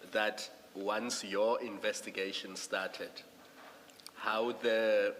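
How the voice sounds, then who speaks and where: A South African man with a lowish voice puts formal questions at a hearing, deliberately laying out his reasoning. The room adds long reverb and faint noise. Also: a bit bright. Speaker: commission panel member questioning a witness. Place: large indoor hearing room.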